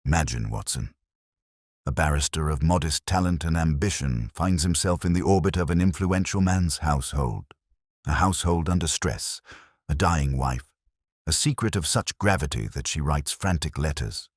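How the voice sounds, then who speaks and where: A man reading as a deep-voiced, commanding detective. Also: moderate pace, dry recording, clean studio sound. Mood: brooding, deliberate. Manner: precise, declarative, formal. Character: keen, commanding male detective, precise and theatrical.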